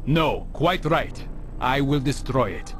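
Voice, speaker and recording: An actor playing a brooding, resolute young assassin in a video game, speaking in a measured, solemn way. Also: male voice, medium pitch, lively intonation, a bit bright, a little hiss or hum. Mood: cold, defiant. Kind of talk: scripted video game cutscene acting.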